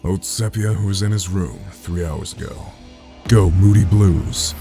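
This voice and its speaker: A man playing a gruff, sceptical, commanding gangster in an audio drama, with a curt, deliberate delivery. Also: low voice, dark tone. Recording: background music under the voice.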